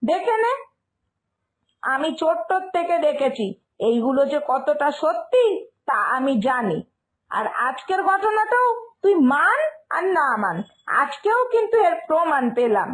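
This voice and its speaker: A woman's voice: sits high, sing-song, measured pace, long pauses. A woman voicing a superstitious, stubborn village mother in a cartoon moral tale, scolding and insistent. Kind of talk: Bengali moral-story cartoon dialogue. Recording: music behind the voice.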